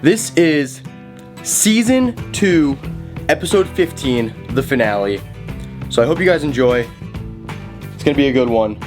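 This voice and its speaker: A man's voice: mid-range voice, lively intonation, unhurried, pauses often, music in the background. A 21-year-old man hosting his ski vlog, talking upbeat and straight to his viewers. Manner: upbeat, addresses viewers directly. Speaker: vlog host. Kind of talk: casual YouTube intro and interview.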